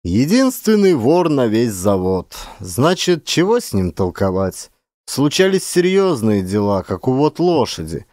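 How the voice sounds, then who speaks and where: A man's voice: mid-range voice, sing-song, unhurried, darkish tone, dry recording, clean studio sound. A man giving a dramatic, scripted narration of literary fiction as an actor.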